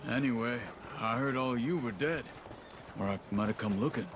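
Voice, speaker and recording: An actor playing a stoic, thoughtful Old West gang veteran, measured and understated, with outdoor noise behind him. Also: male voice, medium pitch, lightly acted. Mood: sombre, reflective. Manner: measured, understated, short sentences. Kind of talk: naturalistic game cutscene dialogue. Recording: noisy outdoor ambience with hoofbeats.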